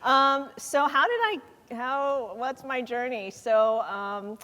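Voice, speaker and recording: A woman from Taiwan speaking on a panel, often laughing and pausing with fillers. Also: high voice, animated. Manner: warm, giggly, halting with fillers. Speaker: music nonprofit chief executive. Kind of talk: panel conversation. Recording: long hall reverb.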